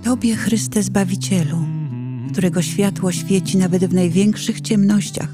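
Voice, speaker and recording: A middle-aged woman giving a solemn, dramatic recitation of a poetic prayer in a penitent, emotive and measured manner. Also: medium pitch, music in the background. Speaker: narrator reciting own poetic testimony.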